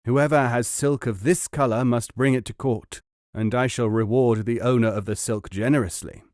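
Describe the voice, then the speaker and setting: A man voicing a young king in a storybook reading, loud and commanding. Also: mid-range voice, steady tone, moderate pace, dry recording, studio quality, lightly acted.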